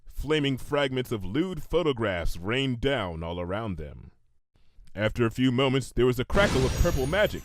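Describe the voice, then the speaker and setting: A man's voice: medium pitch, sing-song, normal speed, a little hiss or hum. A man narrating a comedic fan fiction story in a theatrical, emphatic manner, drawing phrases out for suspense.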